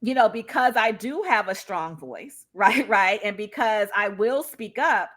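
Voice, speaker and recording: Bright-voiced, emphatic woman in discussion. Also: mid-range voice, expressive, a little slow. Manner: emphatic, often checks in with "right?". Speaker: guest speaker on a live panel. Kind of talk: impassioned conversational discussion of personal experience. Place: live online video call.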